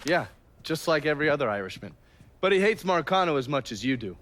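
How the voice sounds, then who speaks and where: A man's voice: fairly high pitch, sing-song, quick pace, a bit bright, slight background noise. An actor playing a sardonic informant in a video game, swearing as he relishes telling colourful gossip. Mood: matter-of-fact, wry. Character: wry, foul-mouthed informant, relishing his gossip. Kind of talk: video game cutscene acting.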